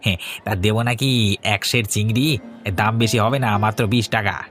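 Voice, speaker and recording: A man's voice: lowish voice, fairly even intonation, a little fast, fairly bright tone, soft background music, close to an everyday voice. A man playing a brusque, pushy village fishmonger in a cartoon, familiar and persuasive as he sells. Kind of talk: Bengali cartoon voice acting.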